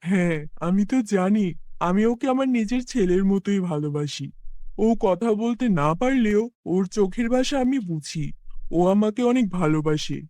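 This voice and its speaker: A man's voice: high voice, darkish tone, no echo. A man voicing a poor, kind farmer who dotes on his cow, in an earnest, exclamatory cartoon fairy-tale style. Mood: tender, troubled.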